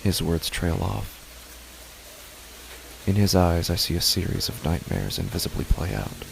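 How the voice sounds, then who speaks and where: A man narrating a first-person horror story in a slow, measured, hushed way over loud background music. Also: low-pitched, big pitch swings, gravelly, slight background noise, rough recording. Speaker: narrator and podcast host.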